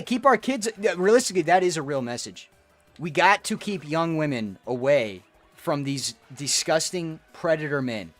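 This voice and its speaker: A man's voice: on the high side, a bit bright. A man streaming a game, chatting with viewers in a profane, rambling, ranting way. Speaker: gaming streamer. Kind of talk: casual rambling stream chat.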